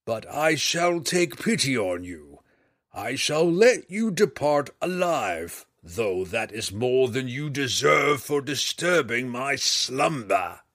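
A slow, booming red dragon, voiced by a male narrator, ancient, proud and menacing. The voice climbs higher and louder than the narrator's own and lands each word with heavy weight. It is close and dry, with a breathy edge. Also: very animated, no echo, heavily acted. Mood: haughty.

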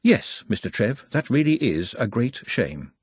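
A man's voice: medium pitch, sing-song, measured pace, breathy edge, no echo. Man as an earnest, over-formal fantasy creature. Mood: confident, courteous.

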